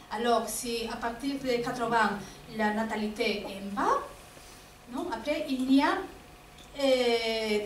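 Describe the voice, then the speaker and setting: Woman, high voice, echoey hall. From Spain. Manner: hesitant, many fillers, frequent tag "non?". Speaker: guest speaker, professor. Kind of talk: lecture Q&A answers. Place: auditorium. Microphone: distant. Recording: echoey hall, distant mic, faint noise.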